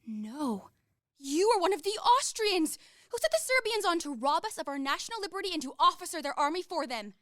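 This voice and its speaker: A woman's voice: very high-pitched, big pitch swings, brisk, a bit bright, dry recording, studio quality, heavily acted. An actress playing a proud, spirited young noblewoman in a radio play, with a haughty manner. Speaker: Raina. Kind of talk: college radio play acting.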